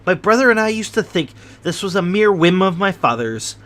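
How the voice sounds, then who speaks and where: A fussy, nervous gentleman with refined airs, as a male reader puts him on. He speaks in a prim, precious, fretful manner, in a slightly high voice. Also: big pitch swings, normal speed. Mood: anxious. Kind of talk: audiobook character voice.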